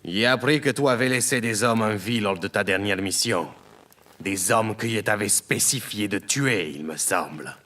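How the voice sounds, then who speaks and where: A man's voice: on the low side, normal speed, sharp tone. A man playing a domineering, embittered head of a crime family in an audio drama, with a menacing, theatrical and formal delivery. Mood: cold menace.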